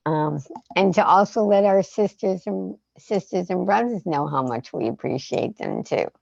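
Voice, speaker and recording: A woman hosting a storytime on a muffled video call, speaking informally and haltingly with pauses between phrases. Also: lowish voice, animated, vocal fry, a little mellow, no echo. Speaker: storytime host. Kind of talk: informal spoken introduction and thanks.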